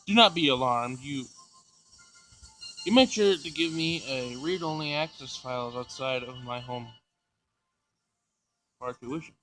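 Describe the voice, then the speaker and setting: A man voicing a formal, literal-minded android in a teenage girl's body, stiff and halting, for a visual novel read-aloud. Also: medium pitch, a bit bright, lightly acted, low-quality recording. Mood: matter-of-fact. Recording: music behind the voice.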